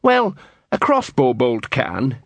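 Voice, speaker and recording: A man doing a worried watch sergeant, husky. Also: medium pitch, wildly swooping pitch, takes it slowly, dry recording, lo-fi sound, exaggerated voice. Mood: doubtful, worried.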